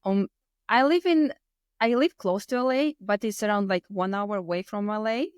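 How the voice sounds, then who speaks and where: A woman's voice: mid-range voice, moderate pace, clean tone, no room sound. Latvian-accented woman in her thirties, dry sound. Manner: non-native English with loose grammar and word order. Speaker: podcast guest. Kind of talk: casual personal storytelling in interview.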